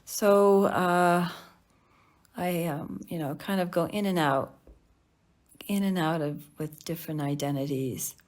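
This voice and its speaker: A middle-aged woman, a Sicilian speaker, giving a candid personal talk in a reflective, halting, self-questioning way. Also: lowish voice. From Canada, partly Sicily. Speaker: academic researcher presenting.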